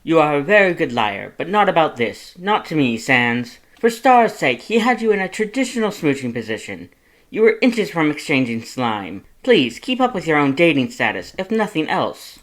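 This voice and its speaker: A man voicing a loud, fussy skeleton who is the younger brother, scolding emphatically in a fan fiction audiobook reading. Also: on the high side, big pitch swings, normal speed, voice pushed up. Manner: scolding, emphatic, rising indignation.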